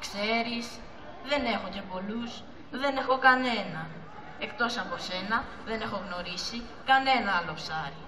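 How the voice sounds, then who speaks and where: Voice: medium pitch, a crowd in the background, natural voice. A young teenager playing a deceitful male dogfish who poses as a friend in an amateur school puppet play. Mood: evasive. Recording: distant, echoey hall with audience noise.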